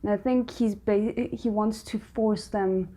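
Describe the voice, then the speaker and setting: A woman's voice: mid-range voice, steady tone, a little slow, dark tone. A woman giving casual spoken reaction commentary as a host, squeamish and dryly sarcastic. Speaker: host of a reaction video channel.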